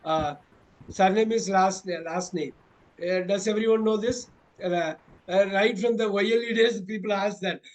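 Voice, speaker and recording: A man with a high voice and an Indian English accent runs a mock speaking exam for his students. He coaches them through each question and keeps repeating his praise. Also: normal speed, rough recording. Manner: encouraging, repeats praise often.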